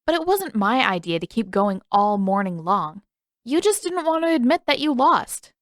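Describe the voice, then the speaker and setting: A woman's voice: a little high, very animated, normal speed, dry recording, studio quality, pitched above the performer's own voice. A woman voicing an earnest, peace-making young boy with a pleading manner in a story reading.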